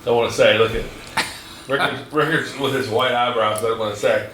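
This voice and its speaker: A man's voice: mid-range voice, fairly even intonation, moderate pace, noisy background. Teasing man in his forties, echoey and distant. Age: 40s. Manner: chatty, profane, teasing. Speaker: podcast host. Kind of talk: casual chat. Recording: echoey room, mic at a distance.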